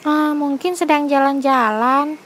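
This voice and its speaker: Woman as a high-voiced, naive, friendly bunny. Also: fairly even intonation, a little slow, a bit bright, slight background noise. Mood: drowsy, unconcerned.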